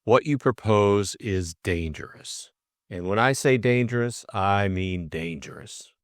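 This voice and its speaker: A man voicing a calm, firm, plain-spoken police superintendent in an audiobook, speaking in a quiet, measured way. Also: lowish voice, no room sound, clean studio sound, lightly acted. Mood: grave. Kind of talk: audiobook character acting.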